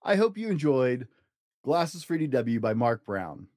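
A man hosting a podcast and reading a children's picture book aloud in an expressive, earnest way for young listeners. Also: mid-range voice, measured pace, no echo, clean studio sound. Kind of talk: scripted storybook narration.

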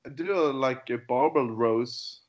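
Slow, halting man from Denmark. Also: mid-range voice, highs cut off. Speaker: guest powerlifter. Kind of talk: casual interview chat.

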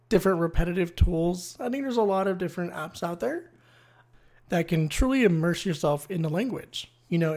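Solo explainer host: conversational, opinionated, mid-pitched, plenty of vocal fry. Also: a little mellow. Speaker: host of a language-learning series. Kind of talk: casual solo explainer talk.

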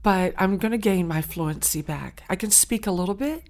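A woman's voice: mid-range voice, a little mellow. A woman from Saint Helena Island whose first language is Gullah, telling her story earnestly as an interview guest. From Saint Helena Island, South Carolina. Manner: earnest, heartfelt, with frequent pauses and restarts.

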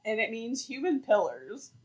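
A woman's voice: on the high side, sing-song, normal speed, darkish tone, poor audio. A woman co-hosting a podcast, telling a story in casual chat, with lots of "like" fillers and frequent laughter. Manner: chatty, laughs often, many "like" fillers. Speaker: podcast co-host.

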